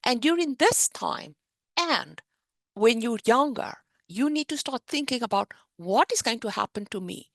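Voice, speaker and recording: A middle-aged woman with a South African accent, teaching the basics of investing in an animated, explanatory way on a webinar. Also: on the high side, a little slow, dry recording. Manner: animated, explanatory teaching with a lively sing-song rise and fall. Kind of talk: webinar presentation.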